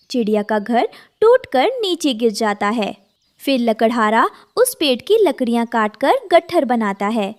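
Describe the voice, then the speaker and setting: A woman's voice: sits high, no room sound, studio quality. A woman narrating a scripted children's cartoon story.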